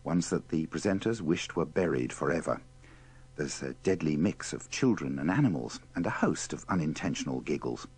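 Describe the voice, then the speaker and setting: A man with a gravelly, husky voice presenting a compilation in wry, tongue-in-cheek scripted narration. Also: extremely low pitch, expressive, moderate pace, darkish tone. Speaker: presenter of a radio bloopers compilation.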